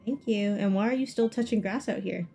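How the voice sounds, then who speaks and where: A woman livestreamer comments casually on a video game. Her voice is medium in pitch and conversational. Game music runs faintly behind her. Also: fairly quick, vocal fry, dark tone. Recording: streamer mic over game music.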